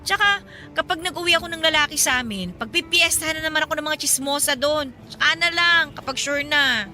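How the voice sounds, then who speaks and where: Stubborn young provincial working woman, radio drama, high bright voice, practical and chatty, music underneath. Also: fairly quick. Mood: evasive, firm.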